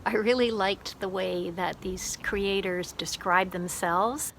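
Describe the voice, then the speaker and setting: A woman's voice: mid-range voice, takes it slowly, vocal fry, a bit bright, slight background noise. A woman talking casually and thoughtfully about books she loved, sharing recommendations at home. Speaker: book reviewer. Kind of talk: casual book review vlog.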